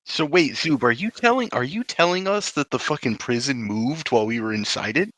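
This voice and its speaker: A man's voice: medium pitch, lively intonation, brisk, a bit bright, dry recording. A man chatting casually and sweary as a player in a tabletop roleplaying game.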